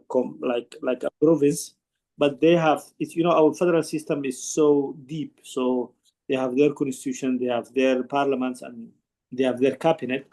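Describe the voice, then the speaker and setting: Gravelly man, halting. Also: mid-range voice, darkish tone. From Somalia. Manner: earnest, halting explanation with frequent restarts. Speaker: conference participant asking a question. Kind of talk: online panel discussion.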